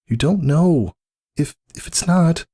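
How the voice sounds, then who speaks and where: Pegasus mare nursemaid played by a man, raised pitch, worried and urgently pleading, dramatic fantasy story. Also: takes it slowly, warm tone, breathy edge. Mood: anxious pleading. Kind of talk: dramatic fan fiction reading.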